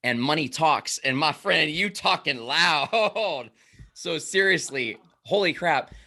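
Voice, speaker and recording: Energetic man hosting a podcast. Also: fairly high pitch, takes it slowly. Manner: energetic and emphatic, preacherly. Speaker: podcast host. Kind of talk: casual interview.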